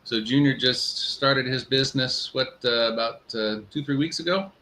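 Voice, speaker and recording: Bright-voiced man co-hosting, noisy background. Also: mid-range voice, moderate pace. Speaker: co-host and business mentor. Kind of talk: casual live-stream chat.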